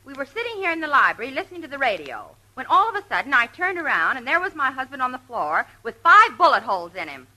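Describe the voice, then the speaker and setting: An actress playing a rich, unflappable stockbroker's widow in a declamatory 1940s radio comedy style. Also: female voice, sits high, very animated, quick pace, few pauses, sharp tone, full character voice, poor audio. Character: rich stockbroker's widow, matter-of-fact about murder.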